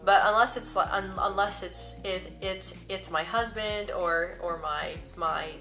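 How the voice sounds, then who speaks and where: A woman's voice: medium pitch, fairly even intonation, measured pace. A young woman vlogging to camera in a casual, earnest way, stuttering often with repeated sounds and words. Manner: earnest and personal. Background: soft background music.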